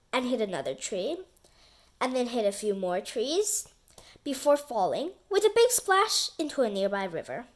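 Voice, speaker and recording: High-pitched woman reading a children's story. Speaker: storybook reader. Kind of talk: expressive children's audiobook narration.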